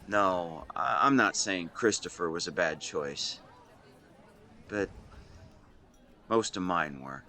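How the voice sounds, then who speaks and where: A man's voice: sits low, slow, long pauses, pushed and bright, some chatter behind, heavily acted. A man in his twenties playing a devoted, protective and secretly lovesick best friend in a conversational audio roleplay, earnest and playful with some hesitation. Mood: wistful, resigned. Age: 20s. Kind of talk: intimate one-sided roleplay acting, conversational.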